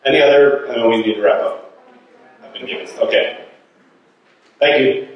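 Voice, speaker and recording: A man's voice: mid-range voice, moderate pace, dull audio. A man making informal announcements as a guest speaker at a meeting in a large church. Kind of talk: informal announcement, answering questions. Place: large church sanctuary, indoors. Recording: echoey church hall, distant mic.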